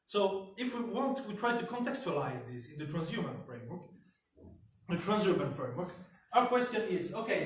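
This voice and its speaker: A man presenting an academic talk at a conference as a researcher. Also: fairly high pitch. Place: indoor symposium room. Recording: very echoey room, distant mic.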